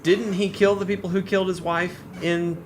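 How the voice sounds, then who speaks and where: A man hosting a podcast in casual, informal chat, talking like an excitable fan. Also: high-pitched, moderate pace. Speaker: podcast host. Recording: clearly noisy room recording.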